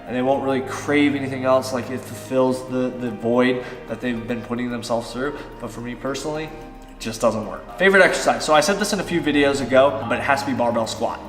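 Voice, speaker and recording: A man's voice: medium pitch, brisk, echoey room, in a large hall, faint music behind. A man in his thirties, a fitness vlogger, answering viewer-style questions in a loose, chatty way with many fillers. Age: 30s. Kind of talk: casual Q and A vlog. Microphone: on-camera shotgun mic.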